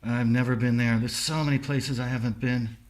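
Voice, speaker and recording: A man chatting casually as a podcast host, telling stories in an animated way. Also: mid-range voice, brisk. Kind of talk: casual chat.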